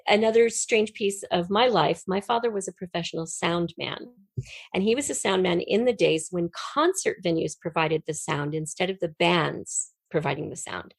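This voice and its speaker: A woman's voice: fairly low pitch, creaky, no room sound. A woman talking conversationally as an interview guest, telling stories in a rambling, colloquial way. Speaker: interview guest, audio drama writer.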